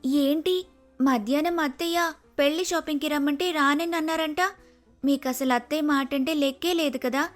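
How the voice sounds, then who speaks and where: A woman's voice: high voice, fairly even intonation, normal speed, faint music behind, natural voice. A woman playing a forthright, affectionate young bride-to-be in a story. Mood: chiding.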